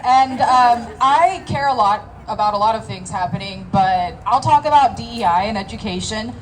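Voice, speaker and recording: A woman's voice: fairly high pitch, normal speed, a little hiss or hum. A young woman, a small business owner, giving a nervous, earnest comment at a town hall with many fillers. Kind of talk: public comment at a rally.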